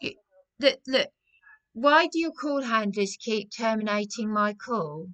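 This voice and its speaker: A woman speaks in a high, piercing voice, sounding distressed. She is an agitated caller making a complaint, pressing her point insistently and repeating herself. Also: expressive, measured pace, fairly bright tone, low-quality recording.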